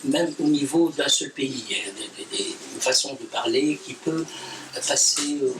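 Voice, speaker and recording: Man, interviewee, measured and hesitant, distant and echoey recording with background noise. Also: medium pitch, a bit bright. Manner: deliberate, with many fillers and restarts. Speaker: interviewee explaining language. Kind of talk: measured explanation.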